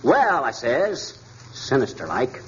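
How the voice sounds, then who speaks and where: Boastful, lively folksy American husband, old radio. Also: male voice, mid-range voice, takes it slowly. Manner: folksy slang, tall-tale bragging. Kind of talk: 1940s radio comedy acting. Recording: muffled, noisy 1940s radio recording.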